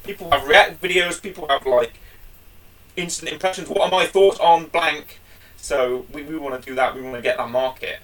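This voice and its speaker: A chatty, joking podcast co-host with a fairly high voice for a man. He sits a little back from the microphone, so he sounds slightly distant as he banters with his partner. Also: moderate pace, a bit bright. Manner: chatty, jokey, often addresses co-host by name. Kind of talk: casual review chat.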